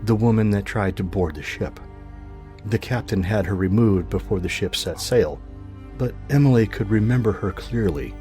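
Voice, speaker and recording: A man narrating a scripted fiction podcast in a measured, grave, dramatic storytelling manner. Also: lowish voice, warm tone, soft background music.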